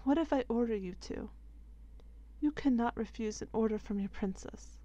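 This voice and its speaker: A woman playing a young princess, stubborn yet unsure of herself, in a soft, hesitant ASMR roleplay. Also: on the high side, moderate pace, dull audio, natural voice. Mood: pleading, defiant. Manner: soft, hesitant, breathy asides.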